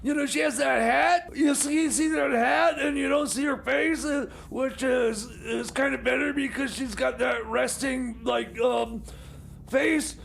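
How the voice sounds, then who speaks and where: A man voicing a rattled, drunken oddball sidekick in radio comedy, stammering and rambling excitably. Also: very high-pitched, unhurried, gravelly, heavily acted. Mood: agitated. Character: rattled, drunken, high-voiced oddball sidekick and movie critic. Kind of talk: improvised comedy radio theater.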